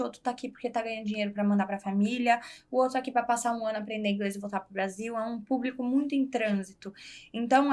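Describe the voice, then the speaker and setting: Woman from Brazil, higher voice, candid and self-assured, casual chat. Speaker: guest, entrepreneur.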